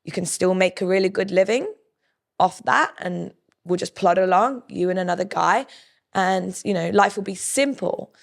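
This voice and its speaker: A woman in her thirties with a croaky, husky voice, telling her story candidly as a podcast guest. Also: mid-range voice, normal speed. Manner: candid, storytelling, lists things off. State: hoarse, croaky. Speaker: podcast guest, business co-owner. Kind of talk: casual interview chat.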